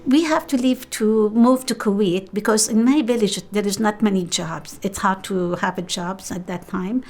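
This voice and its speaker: A woman's voice: mid-range voice, normal speed, a little mellow, soft background music. A middle-aged woman from Lebanon with a Lebanese accent, Arabic her first language, speaking in an interview in simple, uneven English. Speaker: documentary interviewee.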